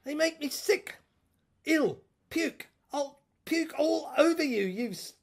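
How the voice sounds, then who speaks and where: A woman's voice: high-pitched, expressive, slow pace, heavily acted. A woman playing a ranting, scheming, power-mad brother and corrupt prosecutor in an audio drama, with a theatrical, manic, rambling delivery. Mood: disgusted, ranting. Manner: theatrical, manic rambling, swinging from shouting to muttering.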